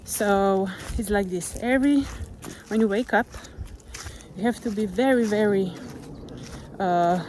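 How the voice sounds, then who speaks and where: A woman with a Slovenian accent, speaking English as a second language in a gentle, reflective motivational vlog while walking outdoors, sometimes searching for words. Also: mid-range voice, a little slow, slight background noise. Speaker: vlogger. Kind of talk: reflective motivational monologue to camera. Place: outdoors on a nature walk. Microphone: camera held while walking.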